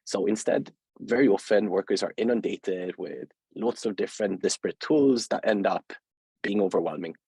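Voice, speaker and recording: A man's voice: on the low side, normal speed, vocal fry, a little mellow, breathy edge, no room sound. A man with a Swedish accent hosting a webinar interview, speaking hesitantly with many fillers and restarts. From Sweden. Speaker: host, company founder.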